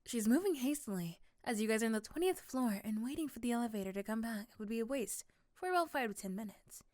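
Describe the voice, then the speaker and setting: A woman's voice: a little high, animated, fast, a little mellow, no echo, studio quality. A woman reading scripted second-person narration for an ASMR roleplay story.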